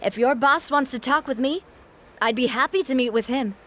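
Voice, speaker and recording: A woman's voice: high voice, normal speed, a bit bright, a little hiss or hum. An actress playing a confident young woman who runs a syndicate, kind but firm and composed, in a video game. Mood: firm, conciliatory.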